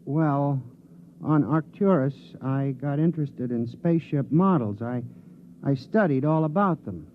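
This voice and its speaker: A meek little bookkeeper played by a man with a high voice, halting but earnest. This is 1950s radio acting, tinny and backed by hiss. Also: lightly acted. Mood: earnest, flustered. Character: mild, hesitant bookkeeper with quiet ingenuity.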